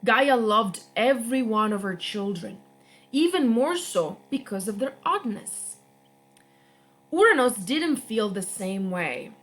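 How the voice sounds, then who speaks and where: A young woman reading a myth aloud for a children's storytime, slowly and expressively. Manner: slow, expressive read-aloud with lively pitch. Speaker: guest reader.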